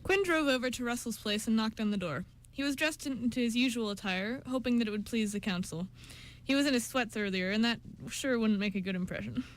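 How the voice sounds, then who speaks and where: High-voiced woman narrating a story. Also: fairly quick. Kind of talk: scripted story narration for amateur radio play.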